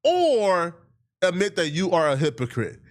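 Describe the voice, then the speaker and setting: High-pitched, animated man commenting on politics. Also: unhurried. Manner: emphatic, mocking, slangy.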